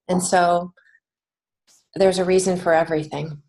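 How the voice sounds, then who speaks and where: Lower-pitched American woman, earnest and reflective. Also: little pitch movement, darkish tone, poor audio. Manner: earnest, reflective, halting with many false starts. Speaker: podcast guest, children's author and creative writing teacher. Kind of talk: casual interview conversation.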